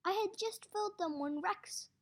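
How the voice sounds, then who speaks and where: A woman's voice: extremely high pitch, moderate pace, voice pushed up. A woman voicing a flustered, defensive male camp counselor in a storybook, protesting hesitantly to authority.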